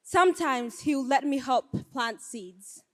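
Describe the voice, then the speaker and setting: Brief: woman from Ethiopia, deliberate live storyteller, slow and high-ish, reverberant hall. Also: expressive. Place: stage before a live audience. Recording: long hall reverb on stage.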